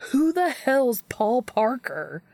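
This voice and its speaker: Woman reading as a cheeky, creaky-voiced geeky guy. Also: a little high, very animated, slow, a little mellow, no echo, pitched above the performer's own voice, full character voice. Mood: exasperated banter. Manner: casual, slangy, teasing.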